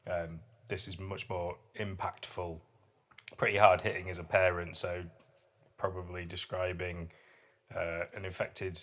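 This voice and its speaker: A man with a low-set voice and a bright, crisp edge talks casually as a podcast co-host. He explains things in an easy conversational style, with a playful streak. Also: flat delivery, a little slow. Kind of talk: casual poetry discussion.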